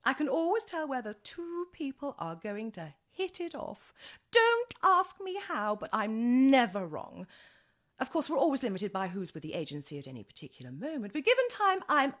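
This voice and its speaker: A woman's voice: high-pitched, huge pitch swings, moderate pace, fairly bright tone, full character voice. An actress in a radio comedy play as a gushing, evasive, put-upon British woman running a dating agency, with theatrical, affected politeness. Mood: breezy salesmanship.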